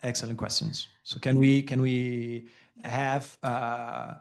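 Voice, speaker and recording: A man moderating a conference panel, brisk and polite as he keeps time. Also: medium pitch, little pitch movement. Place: conference auditorium.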